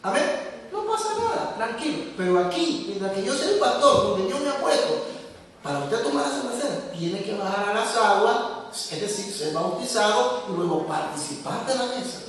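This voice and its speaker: A male pastor with a high-pitched voice delivers a sermon, speaking to the congregation directly in a conversational way. He sounds distant from the microphone, and the large church hall carries a long, ringing echo. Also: big pitch swings, moderate pace, a bit bright. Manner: conversational preaching, addresses listeners directly. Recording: echoey hall from far off.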